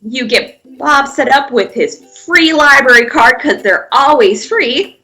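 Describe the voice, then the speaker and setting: Lady talking kids thru a pretend game, high voice, really bouncy pitch, takes her time, music on behind. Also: lively intonation, unhurried, bright, forceful tone. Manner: simple encouraging step-by-step prompts with playful sound effects. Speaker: children's museum presenter leading a pantomime activity. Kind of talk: guided pretend-play narration for young children.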